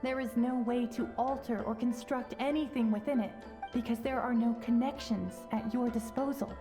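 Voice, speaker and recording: An actress playing a calm, wise female spirit of a warrior in a video game, composed and gently explanatory. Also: fairly high pitch, moderate pace, over music, close to an everyday voice. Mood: patient, solemn. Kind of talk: video game character acting.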